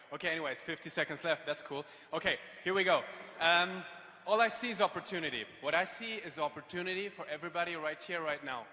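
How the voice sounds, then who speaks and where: A man with a high, bright voice delivers an earnest motivational talk from a stage. He halts and restarts now and then. The sound rings with the long reverb of a big hall. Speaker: speaker at a business event.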